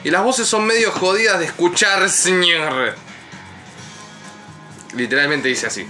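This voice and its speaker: Young Argentine male, very bright forceful high voice, chatting casually with friends. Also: a little slow, faint music behind. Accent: Argentine Spanish. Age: young adult. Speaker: show regular. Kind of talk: casual group chat. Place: small tatami inn room, indoors. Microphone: camera across the table.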